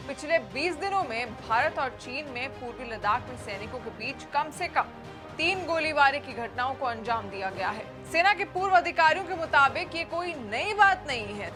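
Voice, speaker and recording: A woman presenting scripted news in a formal, emphatic delivery. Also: high-pitched.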